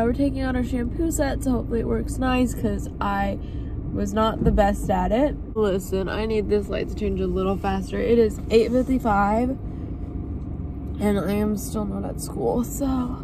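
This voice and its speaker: A young woman, vlogging casually to a phone camera from her car, sounding tired and congested. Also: fairly high pitch. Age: young adult. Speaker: vlogger, cosmetology student. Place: parked car by a road. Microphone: phone camera on the dashboard. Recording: phone audio with clear traffic noise. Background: traffic noise outside.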